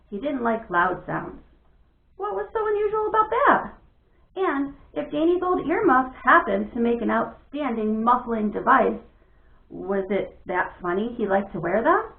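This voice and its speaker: Creaky, animated woman storyteller, echoey thin recording. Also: fairly high pitch. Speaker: teacher reading aloud to pupils. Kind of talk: children's storybook read-aloud. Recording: thin phone-like audio, echoey room.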